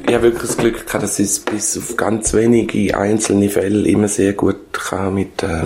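An older man with a Swiss German accent, reflecting in an interview in a halting way, often searching for words. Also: on the low side, vocal fry, a little mellow. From Switzerland. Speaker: former schoolteacher being interviewed.

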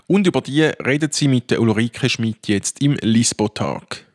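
A man's voice: medium pitch, normal speed, dry recording. Man reading an intro, dry. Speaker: presenter. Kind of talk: scripted intro voice-over.

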